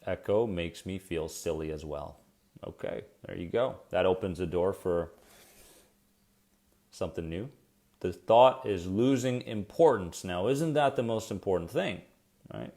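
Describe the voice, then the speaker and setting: Low-voiced man coaching viewers live. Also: lively intonation, unhurried, dark tone. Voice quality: soothing. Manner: chatty and encouraging, addresses viewers directly and reacts to their comments. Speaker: anxiety coach hosting a weekly live stream. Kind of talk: casual live-stream coaching talk.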